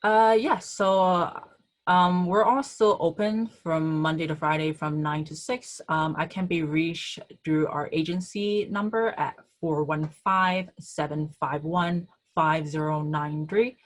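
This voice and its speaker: A woman's voice: sits low, steady tone, a little slow. A woman insurance agent answering audience questions in an online webinar over a video call, hesitant, with many ums and uhs.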